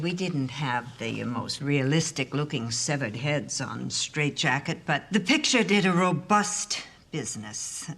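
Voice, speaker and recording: Low-voiced, icily grand film diva. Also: female voice, fairly bright tone, heavily acted. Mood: defensive, cutting. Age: middle-aged. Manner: mannered, precise, pointedly courteous. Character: grand, icily polite, vain aging film actress. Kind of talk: television period drama acting.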